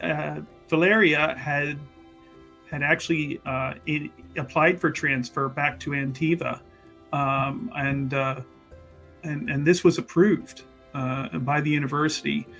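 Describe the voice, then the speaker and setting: Bright-voiced man, slow and halting. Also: fairly high pitch. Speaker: tabletop roleplay player. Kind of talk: improvised tabletop roleplay talk. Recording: music playing behind the voice. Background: background music.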